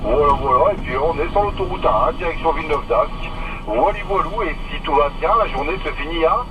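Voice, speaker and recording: A man's voice: a little high, animated, fast talker, lo-fi sound. A man chatting over CB radio in a jokey, talkative way, repeating stock phrases. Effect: CB radio transmission. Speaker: CB radio operator, driver. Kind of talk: casual French CB radio chat. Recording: distant CB radio signal, very loud noise.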